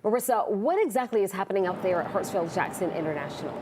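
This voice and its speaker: A woman's voice: medium pitch, animated, fast talker, a little mellow, a little hiss or hum, rough recording. A woman presenting television news as an anchor.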